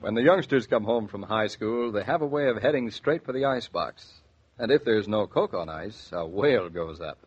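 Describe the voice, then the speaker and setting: A man with a husky voice announcing in the style of a 1940s sponsored radio show. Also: fairly low pitch, expressive, moderate pace. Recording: dull 1940s radio transcription.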